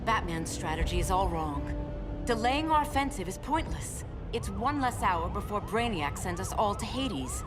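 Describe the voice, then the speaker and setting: A woman's voice: mid-range voice, very animated, moderate pace, lightly acted. A woman voicing a stern warrior queen. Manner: stern, blunt. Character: proud warrior woman, blunt strategist. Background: game music.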